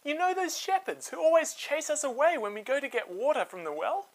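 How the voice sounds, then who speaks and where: A man voicing an excited young shepherd woman in a storybook tale, in a chattering, exclamatory way. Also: very high voice, brisk, pitched above the performer's own voice.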